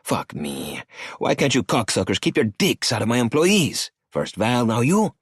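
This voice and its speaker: A man's voice: mid-range voice, huge pitch swings, a little slow, slightly rough, no echo, clean studio sound, pitched above the performer's own voice, heavily acted. A man doing a sneering cartel boss. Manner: sneering, taunting. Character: arrogant, mocking male cartel boss with a cigar.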